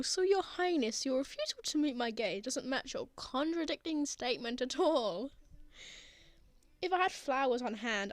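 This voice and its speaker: A woman playing a prickly, flustered, lovestruck young man in an intimate ASMR roleplay, with halting, sardonic delivery. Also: high-pitched, dry recording.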